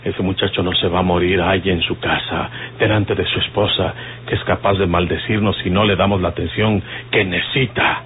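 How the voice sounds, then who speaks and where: An actor playing a determined, rustic outlaw leader in a radio drama, with a rural northern Mexican accent and a firm, colloquial way of talking. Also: male voice, deep voice, fairly even intonation, brisk, natural voice. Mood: firm, resolute. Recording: lo-fi hissy old radio recording.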